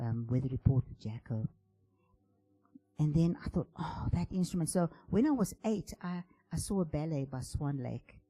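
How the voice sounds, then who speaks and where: A man, a composer and bass player, tells his personal story in a conference talk, reflective and halting, often dropping to a hushed voice. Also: poor audio. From South Africa.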